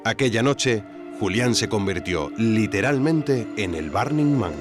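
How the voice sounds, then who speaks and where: A man's voice: fairly low pitch, expressive, measured pace, over music. A man playing an earnest documentary podcast host, narrating in a dramatic, rhetorical scripted style. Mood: solemn, intrigued.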